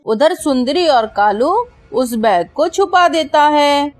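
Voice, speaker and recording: A woman narrator tells a children's cartoon story in a high voice at a slow, measured pace. The sound is dry, with faint music behind it. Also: expressive.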